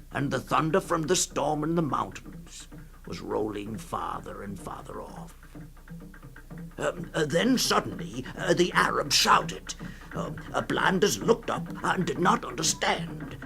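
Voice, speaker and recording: An actor playing a hesitant male storyteller in a 1960s radio reading, gravelly and halting, telling a grim tale with many uhs and pauses. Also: medium pitch, exaggerated voice. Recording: 1960s radio production with music.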